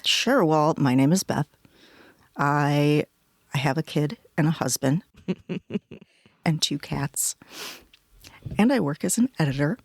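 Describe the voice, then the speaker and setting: A woman's voice: deep voice, slow pace, pauses often, no room sound. A woman co-hosting a podcast in casual chat, with dry, understated remarks. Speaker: podcast co-host, editor.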